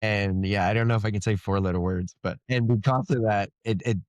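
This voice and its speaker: A man's voice: on the low side, fairly even intonation, fairly quick, darkish tone, dry recording, muffled recording. A man talking casually as an interviewed author, explaining things in a wry, good-humored way. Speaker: fantasy author being interviewed.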